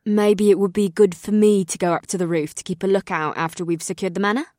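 A man's voice: high voice, fairly even intonation, fast talker, darkish tone, no echo, studio quality, voice pushed up, close to an everyday voice. A man voicing a confident, helpful horned woman in a fantasy audiobook. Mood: helpful, cautious. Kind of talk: fantasy audiobook character acting.

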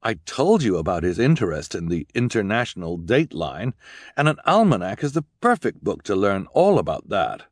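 A man's voice: on the low side, very animated, moderate pace, dry recording, studio quality. Man voicing a mild, earnest storybook husband. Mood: earnest protest. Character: mild, well-meaning, kindly husband and guardian man. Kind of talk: audiobook character voice.

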